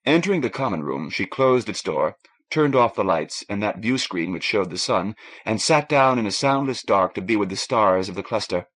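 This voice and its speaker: A man's voice: on the low side, expressive, normal speed, fairly bright tone, low-quality recording. A man reading a novel aloud in a scripted, literary narration style. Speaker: audiobook narrator.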